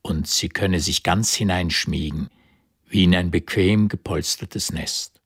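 A man's voice: low-pitched, a little slow, fairly bright tone, slightly rough, dry recording, clean studio sound. A man reading literary narration as the narrator of a German radio drama.

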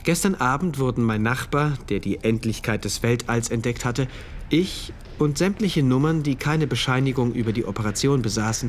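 A male actor playing an introspective, detached diarist, narrating a radio drama in terse, measured phrases. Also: mid-range voice, slight background noise, lightly acted.

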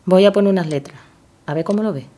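An actress playing a modest, caring working-class wife in an audio drama. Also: female voice, on the low side, fast, mellow, close to an everyday voice. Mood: practical. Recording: clear background noise.

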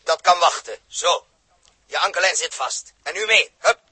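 An actor playing a stern, commanding spaceship captain in a theatrical, clipped 1960s radio drama style, with a husky voice. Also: male voice, fairly high pitch, normal speed, bright, forceful tone, full character voice. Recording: old 1960s radio recording.

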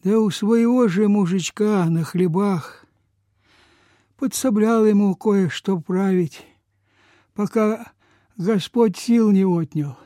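An actor playing a frail, humble old peasant beggar in a Soviet radio play, halting, deferential and folksy. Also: male voice, sits high, mellow, no room sound, studio quality. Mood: weak, pleading. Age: older.

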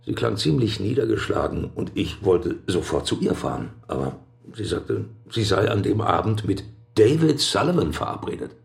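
A man's voice: fairly low pitch, normal speed, gravelly, full character voice. An actor playing a scheming male acquaintance visiting a prisoner in a radio drama, talking in an insinuating, worked-up way. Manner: insinuating, worked up, lively delivery.

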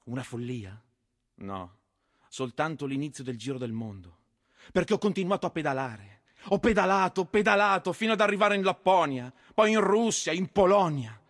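A proud, defiant soldier in his thirties, tells his story in a declamatory theatrical monologue. The voice is high and rough-edged, recorded dry with faint music behind it. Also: lively intonation, normal speed, dry recording. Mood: defiant pride. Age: 30s. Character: proud one-legged Roman bersagliere soldier, a ghost recounting his death.

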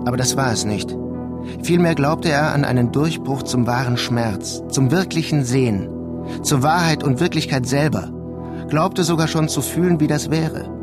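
An actor playing a brooding, detached narrator in a literary radio drama, speaking in a measured, sombre way. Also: male voice, a little high, close to an everyday voice. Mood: brooding, reflective. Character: brooding, detached man narrating a war-torn city. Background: music behind the voice.

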